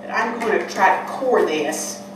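A woman's voice: medium pitch, expressive, measured pace. An older woman in her sixties hosting a cooking show, chatting homespun tips while she works in a large kitchen hall. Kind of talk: casual cooking demonstration. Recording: echoey room from far off, noisy.

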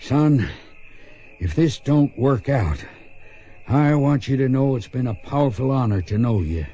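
An actor playing a seasoned, loyal gunfighter mentor with folksy, low-key Western talk in a radio drama. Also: male voice, animated, unhurried, warm tone. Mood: fond, hushed.

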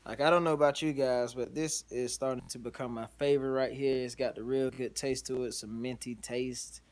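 A man's voice: mid-range voice, fairly even intonation, brisk. Laid-back man rambling in his kitchen. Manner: laid-back, rambling, lots of "you know what I'm saying". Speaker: home cook vlogger. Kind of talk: casual cooking vlog. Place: small home kitchen indoors. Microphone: phone camera held at arm's length.

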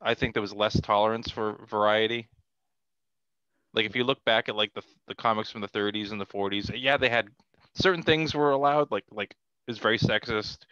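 Bright, clear-voiced middle-aged man. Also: fairly low pitch, brisk, no echo, highs cut off. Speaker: podcast guest, comic artist. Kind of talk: casual conversational interview. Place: small cluttered room at home, video call. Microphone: headset on a video call.

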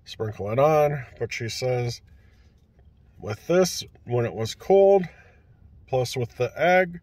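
Middle-aged male food vlogger, folksy and joking, unhurried delivery, phone selfie in a parked car. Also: medium pitch, expressive, darkish tone, no echo. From Minnesota. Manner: chatty, folksy, joking about his wife. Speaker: food reviewer. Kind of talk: casual food review vlog. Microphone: phone at arm's length.

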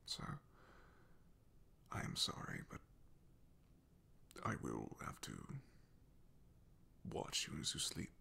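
A man playing an awkward, loyal knight in a soft ASMR fantasy roleplay, half-whispering in a breathy, gravelly voice with a hesitant, apologetic, deferential manner. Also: a little hiss or hum. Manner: hesitant, apologetic, halting with throat clearing.

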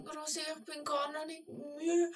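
A woman's voice: sits high, level tone, measured pace, recorded from a distance, very noisy. A woman with a Swiss German accent playing a bold, adventurous little gnome girl, eager and teasing, in a children's audio play. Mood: curious.

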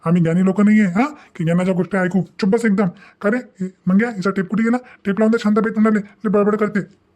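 Man acting a blustering cartoon kidnapper, high male voice, hurried, exasperated complaining. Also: steady tone, darkish tone, natural voice. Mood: irritated. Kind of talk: Marathi cartoon comedy acting.

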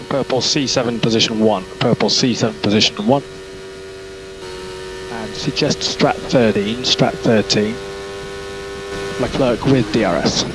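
A man working as a race engineer gives terse, measured instructions over team radio, often repeating them. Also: medium pitch. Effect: team radio transmission. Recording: noisy team radio with vehicle sound.

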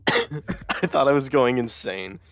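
Male gamer, fry-heavy lively voice, jokey and profane. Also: medium pitch, slow pace, no echo. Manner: joking, swears freely. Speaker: gamer in a co-op session. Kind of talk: casual gaming chat.

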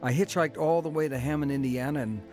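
Middle-aged man, first-person narration, earnest, steady, music bed. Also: on the high side, quick pace. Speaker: narrator and lead.

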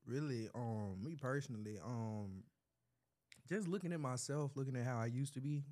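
A young man with a Memphis accent, a musician guest in a casual chat, speaking in a calm, reflective way with pauses. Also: medium pitch. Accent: Memphis, Tennessee. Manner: calm and reflective, hesitant with fillers.

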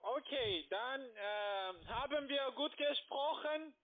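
A man with a foreign accent speaks in a very high voice at a slow, halting pace. His talk is crude. The sound is a narrow phone line. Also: animated. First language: not German. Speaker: abusive phone caller.

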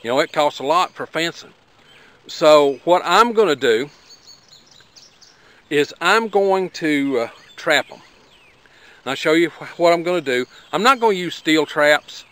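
Folksy Appalachian homesteader, high voice. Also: male voice, animated, a little slow, long pauses, fairly bright tone, clear, resonant tone. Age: middle-aged. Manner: folksy, plainspoken, rambling with fillers. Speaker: homesteader vlogger. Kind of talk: casual outdoor demonstration. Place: outdoors by a garden.

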